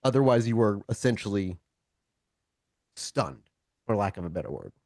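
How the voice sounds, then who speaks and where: A man running a tabletop roleplaying game, shifting between casual talk and deliberate, dramatic second-person narration. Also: fairly low pitch, big pitch swings, darkish tone, dry recording, studio quality. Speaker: game master of a streamed tabletop roleplaying show.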